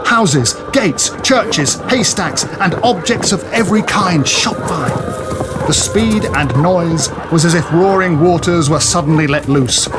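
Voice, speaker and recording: A man's voice: fairly high pitch, unhurried, noisy background. A man narrating a radio drama in a dramatic storytelling style. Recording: music and sound effects behind.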